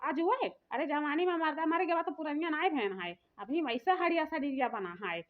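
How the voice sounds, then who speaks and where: A woman's voice: high voice, expressive, moderate pace. A woman voicing an aggrieved, vain old village mother-in-law in a comic cartoon, complaining with an Awadhi accent. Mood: indignant, boastful. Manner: complaining, lamenting. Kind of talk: rustic comic cartoon dialogue. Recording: muffled, phone-like narrow sound.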